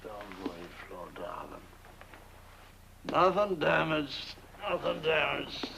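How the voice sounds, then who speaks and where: An actor playing a tipsy, sentimental English gentleman in a comic film, drawling drunkenly with a British accent. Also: mid-range voice, big pitch swings, slow pace, gravelly, slight background noise, exaggerated voice. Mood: tipsy, soothing.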